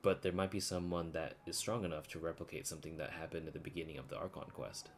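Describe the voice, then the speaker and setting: A man's voice: low-pitched, steady tone, fast talker, slight background noise. A young man giving casual live commentary as he streams a video game. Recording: game music behind voice.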